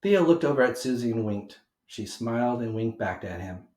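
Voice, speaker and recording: A man reading a children's picture book aloud with animated, emphatic delivery for young listeners. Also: medium pitch, normal speed. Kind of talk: expressive children's read-aloud narration. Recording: roomy homemade recording.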